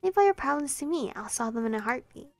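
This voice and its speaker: A woman's voice: high-pitched, lively intonation, fast, darkish tone, no room sound, soft background music. A woman voicing a cocky teenage girl prankster who teases with mock sincerity. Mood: smug. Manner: smug, goofy, mock-sincere.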